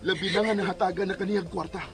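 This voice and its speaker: A man's voice: high-pitched, steady tone, racing, gravelly. A man acting a stern, admonishing doctor in a Cebuano radio drama. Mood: firm persuading. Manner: emphatic, admonishing. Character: stern male doctor demanding a mother give up baby. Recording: loud dramatic music behind.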